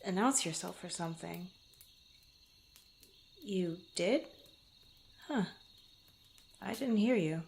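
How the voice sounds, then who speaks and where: A woman playing a guarded, bantering young swordswoman in an intimate fantasy ASMR roleplay, speaking haltingly to the listener. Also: on the low side, gravelly, a little mellow, slight background noise, heavily acted. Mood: startled, mock stern. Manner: flustered, bantering, halting, often sighing or chuckling. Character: guarded, teasing young adventurer swordswoman, former street thief.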